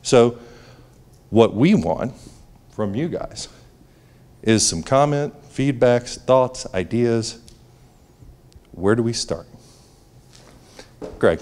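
A man speaks to an audience through a handheld mic in a library auditorium. He talks in a relaxed, conversational way with a joking edge, at an ordinary middle pitch. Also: extremely slow, pauses often. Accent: New England. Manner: conversational, jokey. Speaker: presenter. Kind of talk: public presentation.

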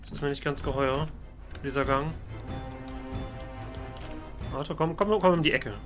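A man's voice: fairly high pitch, unhurried, fairly bright tone. Man doing casual role-play game commentary, lightish voice. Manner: talks to game characters as if present. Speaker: let's player. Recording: voice over game music. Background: video game music and sounds.

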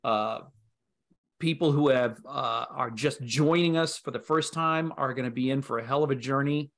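Middle-aged man, medium pitch, casual interview guest, video call. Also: normal speed, creaky. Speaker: guest, audio drama creator. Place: indoor room on a video call.

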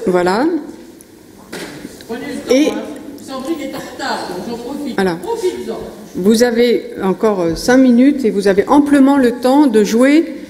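Woman, middle-aged, conference presenter, hesitant, fairly high voice, long hall reverb. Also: slow pace, pauses often, a crowd in the background. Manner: hesitant, many fillers and restarts. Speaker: conference speaker. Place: conference hall stage at a lectern. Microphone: lectern microphone.

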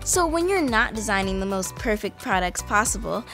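A woman's voice: medium pitch, sing-song, moderate pace, fairly bright tone. A young teenage girl hosting an interview show with upbeat scripted lines and curious questions, recorded in a studio. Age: young teen. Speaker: student interviewer, show host. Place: studio with plain backdrop. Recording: music bed underneath.

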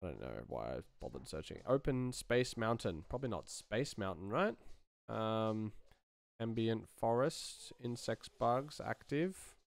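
Low, crackly-voiced Australian man. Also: very animated, a little slow, creaky. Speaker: sound designer, live streamer. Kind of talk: casual commentary while working, answering chat. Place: small indoor room. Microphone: close desk microphone.

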